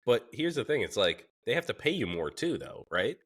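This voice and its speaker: A man's voice: mid-range voice, very animated, normal speed, slightly rough. A man hosting a podcast, chatting casually, joking and asking questions. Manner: joking, curious, swears freely. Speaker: podcast host. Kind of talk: casual chat.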